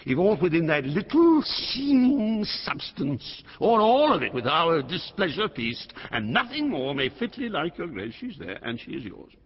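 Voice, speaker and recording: A man's voice: on the high side, sing-song, unhurried, hardly any pauses, breathy edge, exaggerated voice. An actor playing a proud, rash old king who declaims grandly in classical Shakespearean stage style. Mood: coldly regal. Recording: muffled, long hall reverb.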